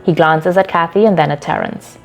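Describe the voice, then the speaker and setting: A woman with a fairly low voice narrates a scripted short story. Her delivery is measured and dramatic, with faint music playing behind her. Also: vocal fry.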